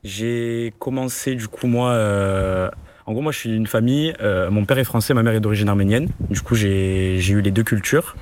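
Low-voiced young man. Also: normal speed, a little hiss or hum. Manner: relaxed, frequent fillers. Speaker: law student guest. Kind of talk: casual interview.

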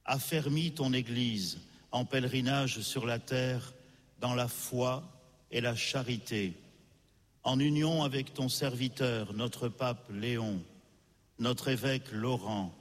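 A man, the presiding priest, slowly and solemnly intoning a Eucharistic prayer at Mass. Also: medium pitch. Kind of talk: liturgical prayer. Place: church. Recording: long church reverb.

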